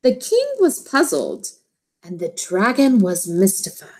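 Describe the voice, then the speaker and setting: A woman teacher reading a children's storybook aloud, expressively and in simple, deliberate phrases for young listeners. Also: mid-range voice, wildly swooping pitch, darkish tone.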